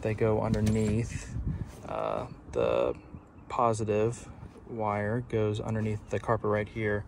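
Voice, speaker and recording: A man's voice: fairly low pitch, takes it slowly. A man giving a casual, informal hands-on DIY walkthrough, recorded on a handheld phone inside a parked truck. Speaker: DIY video presenter. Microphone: handheld phone camera. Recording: clear background noise, vehicle ambience.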